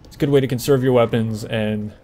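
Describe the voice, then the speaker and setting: A man's voice: medium pitch, fairly even intonation, quick pace, mellow, soft background music. Casual man giving game walkthrough commentary. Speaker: gameplay walkthrough host. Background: video game ambience.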